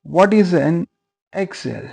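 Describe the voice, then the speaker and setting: A man's voice: a little high, lively intonation, slow pace, gravelly, a little mellow. A young man whose first language is Urdu, earnestly explaining a beginner's tutorial and repeating his points, with many fillers. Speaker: teacher.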